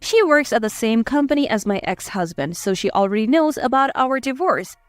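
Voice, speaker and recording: A woman reading a scripted first-person drama story in an expressive manner. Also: on the high side, brisk, no echo, faint music behind. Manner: expressive, acting out dialogue. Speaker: story narrator.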